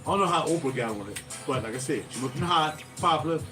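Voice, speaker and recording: A man's voice: fairly high pitch, expressive, fast. A man rambling through stories to his livestream viewers, often drifting off topic and losing his thread. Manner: digressive, loses his thread, talks to viewers directly. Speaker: musician hosting a livestream. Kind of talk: casual rambling livestream storytelling. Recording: distant mic with music playing behind.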